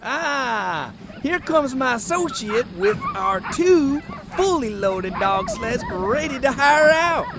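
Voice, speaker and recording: A man's voice: very high-pitched, big pitch swings, slow, hardly any pauses, noisy background, exaggerated voice. A man voicing a folksy, scheming Old West outlaw who talks like a sly fibber, in a fan comic dub. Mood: slyly friendly.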